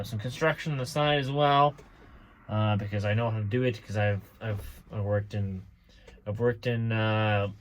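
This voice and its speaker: A man's voice: on the low side, a bit bright. A man in his twenties talking casually to camera outdoors beside his truck, rambling with many restarts and fillers. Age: 20s. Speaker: small trucking business owner.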